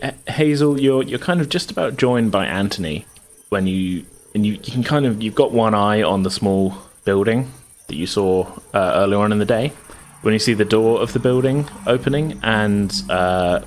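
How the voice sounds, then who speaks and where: A man improvising narration as game master of a tabletop roleplaying game, halting and circling back over his phrases. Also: lowish voice, a little hiss or hum.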